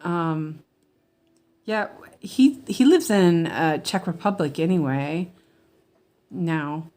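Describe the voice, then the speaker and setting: A woman's voice: low voice, takes it slowly, dark tone. An American woman chatting in a rambling, meandering way with her viewers as she stitches during a casual livestream.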